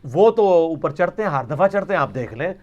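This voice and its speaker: A man's voice: on the high side, big pitch swings, fairly quick, a little mellow, muffled recording. A man with a Pakistani Punjabi accent answering religious questions in an informal lecture, arguing emphatically with rhetorical questions and slipping into Punjabi.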